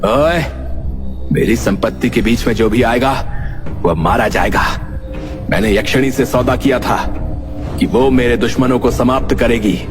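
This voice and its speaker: An actor playing a vengeful, scheming elder half-brother, boastful and menacing, in a horror cartoon. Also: male voice, medium pitch, measured pace, long pauses, natural voice. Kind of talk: Hindi horror cartoon acting. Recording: loud music behind the voice.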